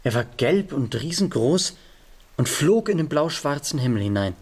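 An actor playing an earnest, troubled young man in a radio drama, with a hesitant, husky and breathy delivery. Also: fairly high pitch, sing-song, moderate pace. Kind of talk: German literary radio drama acting.

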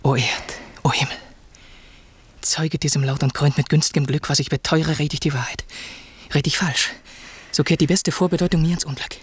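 An actor playing a devoted young prince who toils as a servant, speaking ornate classical radio drama verse in a lofty, declamatory way. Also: male voice, fairly high pitch, fairly even intonation, brisk, breathy edge. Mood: fervent.

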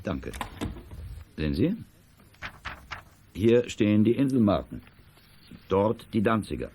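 An actor playing a courteous, middle-aged auction house director in a children's audio drama, speaking in a formal, measured way. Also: male voice, fairly low pitch, big pitch swings, dark tone, slight background noise. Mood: calm, businesslike. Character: courteous, businesslike male auction house director, discreet and earnest.

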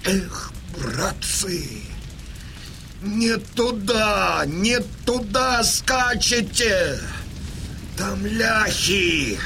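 An actor playing a proud, defiant old Cossack chieftain in a radio drama, impassioned and declamatory. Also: male voice, high-pitched, animated, gravelly, pushed and bright, slight background noise, exaggerated voice. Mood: desperate, anguished. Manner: declamatory, impassioned, drawn-out delivery. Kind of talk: Russian radio drama acting. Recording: music behind the voice.

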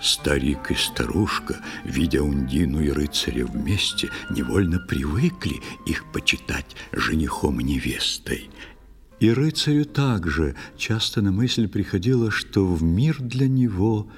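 A man narrating a poetic tale for an audio play in a lyrical, measured, expressive storytelling manner. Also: low voice. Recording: music under the voice. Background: musical instruments playing.

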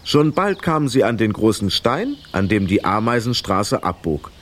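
A man narrating a children's radio play in an expressive, dramatic storytelling style. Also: on the low side, normal speed.

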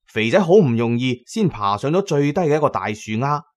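A man's voice: medium pitch, very animated, fairly quick. A man with a Cantonese accent narrating an audiobook as a dramatic storyteller, with colloquial asides.

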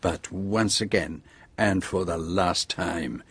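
An actor playing a shrewd, self-assured detective in a radio drama, with a French-speaking accent and precise, theatrical phrasing. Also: male voice, deep voice, slow pace, a bit bright, husky, no room sound, exaggerated voice. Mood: self-satisfied.

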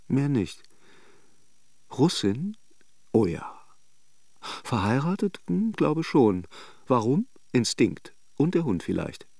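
Chatty, creaky-voiced holidaymaking charmer. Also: male voice, on the low side, a little mellow, dry recording, exaggerated voice. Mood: musing. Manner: playful, gossipy, teasing self-deprecation. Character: chatty, flirtatious male banker from Moscow on holiday. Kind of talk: literary radio drama acting and narration.

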